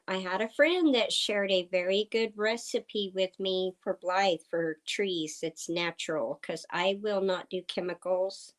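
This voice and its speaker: Slow-talking slightly hoarse woman. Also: on the low side. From Oklahoma. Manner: slow, chatty, talking to viewers. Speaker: livestream host. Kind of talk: casual livestream chat. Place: farm home indoors. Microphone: computer streaming setup.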